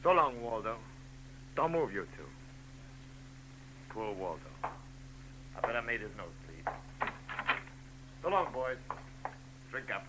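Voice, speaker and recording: Brief: a slow, slurring drunk killer, sing-song taunts, hissy 1940s radio. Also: male voice, medium pitch, big pitch swings. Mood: menacing. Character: drunk male gunman, sneering and cold. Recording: hissy old radio broadcast.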